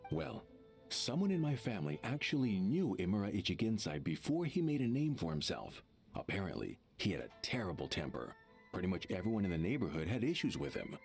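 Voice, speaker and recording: A man's voice: lowish voice, sing-song, brisk, creaky, soft background music. A man voicing a smug, gossipy true believer in an anime game, condescending and emphatic. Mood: confiding, insistent.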